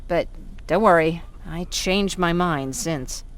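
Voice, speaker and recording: A woman's voice: on the low side, slow pace, a little hiss or hum. A woman playing a weary, self-doubting survivor in a video game, confiding in a subdued way. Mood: regretful.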